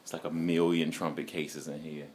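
A man in his forties walking through an instrument factory, filming himself on a phone held at arm's length and talking with excited enthusiasm. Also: very deep voice, normal speed. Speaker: vlogger touring an instrument factory. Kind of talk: casual walk-and-talk vlog. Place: large indoor factory hall and showroom. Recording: phone recording amid workshop crowd noise.

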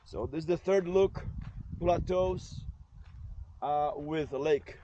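Casual man vlogging outdoors over loud wind. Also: on the high side, slow, highs cut off. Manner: informal, rambling. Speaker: travel vlogger. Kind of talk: casual travel vlog narration. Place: outdoors at roadside scenic stops. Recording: windy, noisy outdoor recording.

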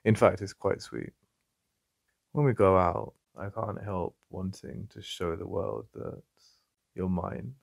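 A man with an English accent playing a jealous, possessive yet vulnerable boyfriend in an intimate ASMR roleplay, halting and conversational with a gravelly voice. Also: low voice, steady tone, dry recording, clean studio sound, exaggerated voice. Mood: possessive, tender. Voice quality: gravelly, creaky. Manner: halting, repeating himself, conversational. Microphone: close, dry studio-style mic.

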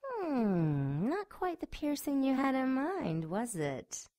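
A woman's voice: sits high, big pitch swings, slow pace, background noise, heavily acted. A woman playing a seductive, predatory winged succubus in an audio drama, with a sultry, taunting manner.

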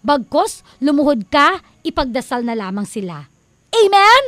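A woman's voice: high-pitched, big pitch swings, measured pace, a bit bright, dry recording. A woman with a Filipino accent co-hosting a radio advice show, half preaching and half teasing, quoting scripture and laughing often. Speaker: radio co-host. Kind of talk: playful radio advice talk. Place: radio studio.

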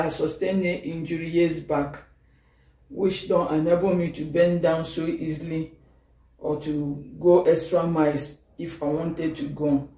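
A woman with a Nigerian accent giving an excited, grateful testimony at home, filmed on a phone from a few steps away. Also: deep voice. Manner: excited and grateful, moving around while talking. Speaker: testimony giver. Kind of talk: video testimony. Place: bedroom at home. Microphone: phone camera from a few steps away. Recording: echoey room, distant phone mic.

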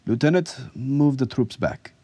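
A man's voice: low-pitched, sing-song, a little slow, mellow, no room sound, full character voice. A man voicing a proud, stern military officer with a formal, imperious manner in an audiobook story. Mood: commanding. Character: stern, proud male officer with a cane, commanding.

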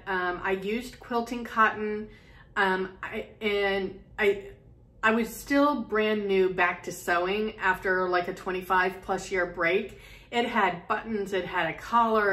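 A woman's voice: medium pitch, bright, forceful tone. Middle-aged lady sewing vlogger, chatty, lots of ums, talking to her camera at home. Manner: chatty, rambling, many ums and restarts. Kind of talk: casual chat to camera. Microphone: camera in front, medium close framing.

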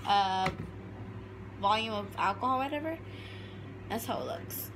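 A woman's voice: mid-range voice, big pitch swings, unhurried, creaky, noisy background. A woman, chatting casually and swearing freely as she vlogs into a phone. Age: 20s. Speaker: vlogger. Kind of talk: casual vlog chat.

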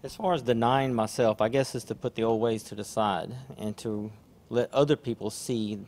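A man giving soft-spoken, somewhat hesitant comments on a devotional panel. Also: medium pitch, steady tone, moderate pace. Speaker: church member.

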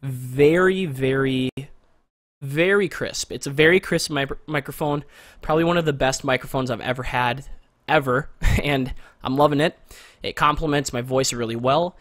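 A man's voice: normal speed, no echo. Young guy chatting about his mic gear, close and dry, kinda rambly, says very very a lot. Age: young adult. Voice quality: not very deep but masculine voice. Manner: relaxed, rambling, repeats words for emphasis. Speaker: gear reviewer. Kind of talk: casual product walkthrough. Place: small bedroom at home. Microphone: studio condenser mic with pop filter, close.

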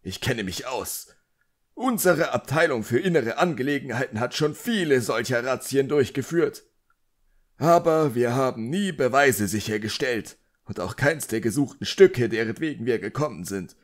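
An irascible, self-important middle-aged magician played by a male narrator in an audiobook. He pitches his voice well above a relaxed narration and barks out bitter, emphatic complaints. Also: normal speed, natural voice. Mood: indignant ranting. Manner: barking, emphatic, indignant. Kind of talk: audiobook character dialogue.

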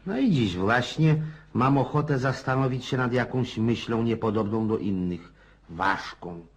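An actor playing a blustering, self-important old countryside landowner in a radio play, rambling and folksy with a husky voice. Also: male voice, mid-range voice, a little slow. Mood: self-important musing.

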